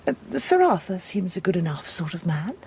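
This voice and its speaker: A woman playing an ailing, worried older mother in a gothic audio drama, genteel, halting and confiding. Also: fairly low pitch, sing-song, a little mellow, slight background noise.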